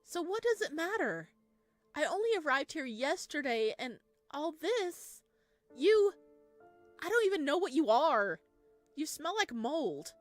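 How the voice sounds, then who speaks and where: Woman acting a high, agitated, accusing house visitor. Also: sing-song, measured pace, soft background music. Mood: disgusted. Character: frightened, indignant visitor trapped in a decaying house.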